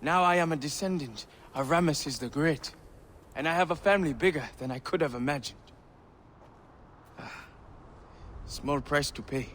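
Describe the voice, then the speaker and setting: A man's voice: on the high side, moderate pace, pauses often, fairly bright tone, slight background noise, natural voice. An actor playing a weary, grateful young man in a video game cutscene, speaking with tired sighs. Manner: tired, sighing, wry.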